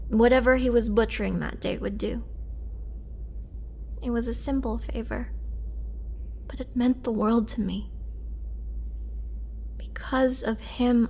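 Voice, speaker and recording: A woman playing a gentle, sorrowful, youthful-looking but centuries-old imprisoned vampire, telling her story in a hushed, halting way for a dramatic roleplay. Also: fairly high pitch, slight background noise. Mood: grateful, wistful.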